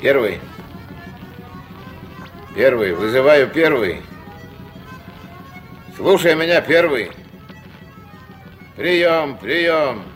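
An actor playing a gruff, steady old riverboat captain and grandfather with a curt, commanding way in a Soviet radio play. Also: mid-range voice, extremely slow, long pauses, faint music behind. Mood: formal radio calling.

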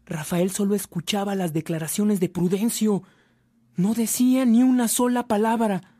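A man's voice: high voice, steady tone, moderate pace, mellow. A man acting as the storytelling narrator of a scripted radio drama. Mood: solemn storytelling. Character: storytelling narrator of a saint's life.

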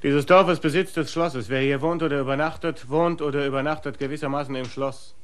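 A young actor in a radio play voicing a steward's son who talks down to people in an officious, arrogant way. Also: male voice, fairly high pitch, fairly even intonation, fairly quick, natural voice. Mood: haughty. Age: young adult.

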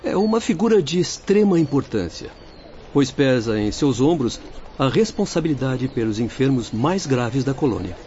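A man's voice: mid-range voice, big pitch swings, moderate pace, a little mellow, lightly acted. Man acting a grave Brazilian companion, muffled sound. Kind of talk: Brazilian radio drama acting. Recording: muffled audio, faint background noise.

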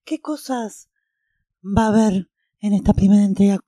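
Argentine female radio host, very soft dark timbre, friendly presenting, close dry sound. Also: mid-range voice, moderate pace, dry recording.

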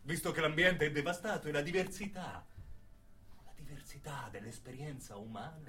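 An actor playing a brooding revolutionary who addresses listeners in a deliberate, literary radio monologue with dramatic pauses. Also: male voice, on the high side, bright, forceful tone, recorded from a distance. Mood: somber.

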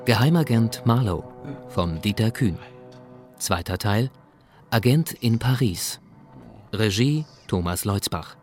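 A man reading scripted radio drama narration in a measured, factual manner as the announcer. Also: lowish voice, animated, a little mellow, faint music behind.

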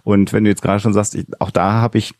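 A man's voice: lowish voice, level tone, quick pace, a little mellow, no echo, clean studio sound. A man hosting a conversational podcast interview, talking in long, winding sentences with hesitant phrasing. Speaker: podcast host interviewing an author.

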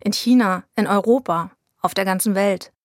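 Documentary narrator, a woman, calm and even, dry studio sound. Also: mid-range voice, normal speed, dry recording. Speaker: reporter and narrator. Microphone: studio mic.

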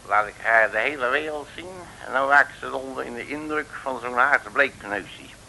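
An actor playing a pompous, grumbling, bumbling detective in a comic radio serial, with theatrical bluster and colourful oaths. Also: male voice, mid-range voice, normal speed, vocal fry, sharp tone, slight background noise, rough recording. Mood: exasperated.

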